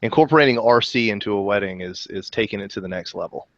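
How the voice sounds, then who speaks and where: A man tossing in wry jokes during a casual group chat. His voice is low, and the recording sounds muffled, dry and close. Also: fast, a bit bright, no room sound. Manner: joking, wry asides.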